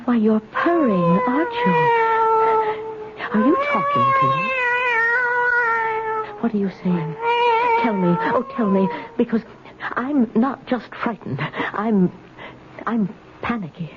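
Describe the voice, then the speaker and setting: A muffled, noisy seventies radio recording carries a frightened, lonely old spinster. Her delivery swings widely in pitch, halting and repeating words as she frets through a mystery drama. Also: big pitch swings. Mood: panicky, fretting. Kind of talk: 1970s radio mystery acting. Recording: noisy, dull 1970s radio broadcast recording.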